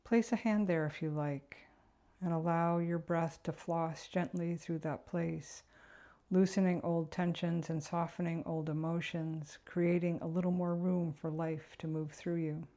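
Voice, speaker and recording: A woman narrating a guided meditation in a gentle, unhurried, reflective way. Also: lowish voice, fairly even intonation.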